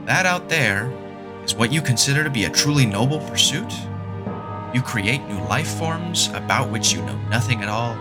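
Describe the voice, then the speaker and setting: A man's voice: mid-range voice, natural voice. A man playing a delirious, righteous injured scientist in an audio drama, lecturing with sardonic agitation. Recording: loud music under the voice. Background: music.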